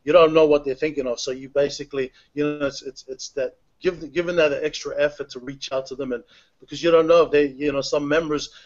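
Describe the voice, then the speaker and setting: A man hosting an online members' call, talking in a halting, filler-heavy, upbeat way. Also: on the high side, fairly even intonation, muffled recording. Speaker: host of a members' webinar. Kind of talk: casual online webinar hosting.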